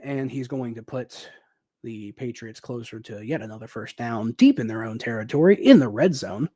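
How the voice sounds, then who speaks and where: A young man talks through a football game live, mixing quick play calls with loose, rambling asides full of ums and uhs. His voice sits at a middle pitch and has gone a little dry and raspy. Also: expressive, mellow. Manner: rambling, thinking aloud with many ums and uhs. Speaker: livestream host commentating football. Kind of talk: casual live play-by-play commentary.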